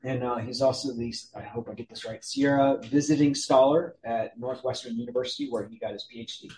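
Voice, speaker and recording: A man introduces a guest speaker at a club meeting. He speaks haltingly, with many uhs and restarts. The room gives his voice a noticeable echo. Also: medium pitch, steady tone, darkish tone, a little hiss or hum.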